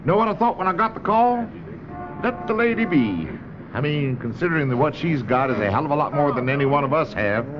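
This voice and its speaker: An actor playing a cynical, weary police sergeant in a radio drama. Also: male voice, a little high, expressive, a little fast, close to an everyday voice. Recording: lo-fi radio, loud street and vehicle noise.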